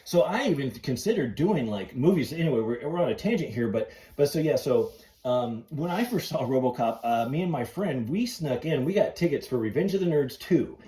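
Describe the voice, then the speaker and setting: Excitable middle-aged man, roomy sound. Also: mid-range voice, a little fast. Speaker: podcast host. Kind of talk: casual chat.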